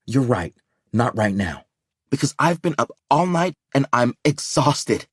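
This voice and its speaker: A man's voice: mid-range voice, big pitch swings, unhurried, husky, no room sound, clean studio sound, pitched above the performer's own voice. A man voicing an exhausted, angry woman who argues over the phone, breathless and strained. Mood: agitated.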